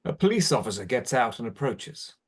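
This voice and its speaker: A man's voice: medium pitch, sing-song, fairly quick, breathy edge. Man narrating a script's stage directions, mid-range voice, present tense scene reading.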